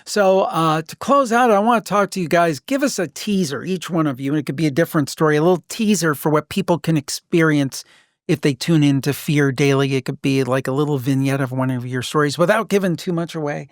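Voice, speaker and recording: Higher-voiced man hosting a podcast. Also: brisk, no echo, studio quality. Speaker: podcast host. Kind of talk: casual conversational storytelling.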